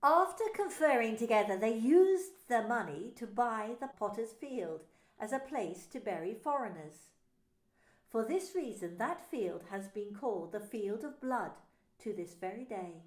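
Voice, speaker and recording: A woman's voice: a little high, expressive, unhurried. A woman minister narrating a dramatised scripture story, telling it expressively. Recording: roomy echo.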